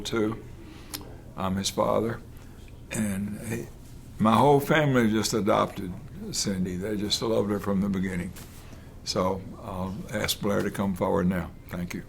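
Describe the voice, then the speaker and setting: An older man giving a casual family speech to the camera, earnest, halting and rambling. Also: fairly low pitch, fairly even intonation, creaky, a little hiss or hum. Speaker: lawyer, host of the video.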